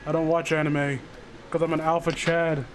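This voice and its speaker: A man with a somewhat high-pitched voice hosts a casual livestream chat. He talks in a teasing, foul-mouthed way. A faint noise sits behind his voice on the group call. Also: flat delivery, moderate pace. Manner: profane, teasing. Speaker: streamer hosting a group call.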